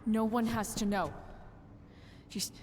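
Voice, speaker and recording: An actress playing an earnest, protective young woman in a video game, pleading in a halting way. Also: fairly high pitch, fairly even intonation, darkish tone. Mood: tense pleading. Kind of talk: dramatic video game voice acting. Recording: long reverb, faint background noise.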